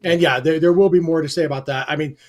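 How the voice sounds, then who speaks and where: A man's voice: a little high, fairly even intonation, quick pace, a little mellow. A man co-hosting a sports podcast in casual chat, explaining points in detail with a dry sense of humor. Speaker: podcast co-host.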